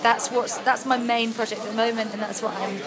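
A woman's voice: fairly high pitch, fairly quick. Chatty Welsh woman, crowd behind. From Wales. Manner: chatty, animated storytelling with frequent ums. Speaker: actress and writer guest. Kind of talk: casual interview chat.

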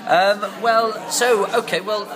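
A man hosting a podcast, asking halting questions full of restarts and laughing easily. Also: high-pitched, steady tone, a crowd in the background. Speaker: podcast host. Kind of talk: casual interview chat.

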